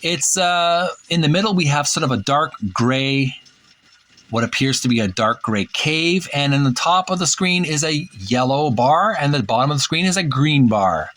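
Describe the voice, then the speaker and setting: A man hosting a retro gaming channel, talking casually and reading aloud in a halting, deliberate, stumbling way. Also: a little high, expressive, a bit bright. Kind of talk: casual read-aloud commentary.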